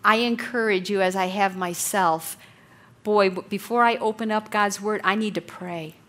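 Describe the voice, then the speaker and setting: Woman, Bible study teacher, loud and encouraging, bright voice. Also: mid-range voice, normal speed. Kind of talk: women's Bible study lesson. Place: meeting room with a blower.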